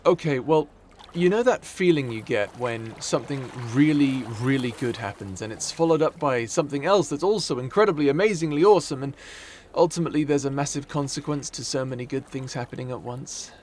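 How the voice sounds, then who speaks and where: A man's voice: medium pitch, fairly quick, few pauses, a little mellow, a little hiss or hum, lightly acted. A man playing an uneasy, informal warrior leader in an ASMR audio roleplay, talking earnestly and hesitantly. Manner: casual, hesitant, earnest phrasing.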